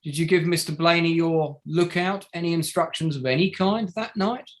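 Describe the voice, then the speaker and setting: A man acting a barrister cross-examining a witness in a courtroom drama. He is formal, deliberate and pointed, in a fairly high male voice, steering with leading questions. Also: lightly acted. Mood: pointed, critical. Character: pointed, methodical male barrister for bereaved families. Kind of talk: courtroom drama table read.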